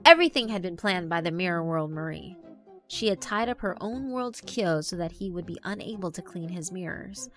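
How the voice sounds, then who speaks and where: A woman's voice: lowish voice, animated, normal speed, a bit bright, faint music behind. A woman reading dramatic scripted narration for a visual novel.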